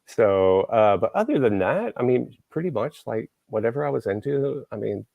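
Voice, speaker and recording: A man's voice: mid-range voice, animated, normal speed, creaky, dry recording, narrow, tinny audio. A man from Texas, hosting a show and chatting casually, rambling with lots of fillers and restarts. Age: adult, grew up in the 1980s. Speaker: show host. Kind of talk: casual podcast chat.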